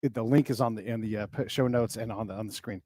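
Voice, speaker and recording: A man hosting an interview, asking animated, searching questions. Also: on the low side, fast talker, husky, no room sound. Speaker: podcast host. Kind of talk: interview conversation.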